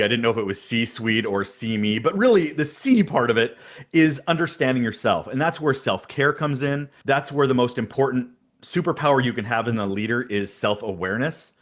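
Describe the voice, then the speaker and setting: An outspoken man answering interview questions on a podcast. His voice is mid-pitched and carries, and he talks with energetic emphasis. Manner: energetic, emphatic, talks about having a big voice. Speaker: podcast guest.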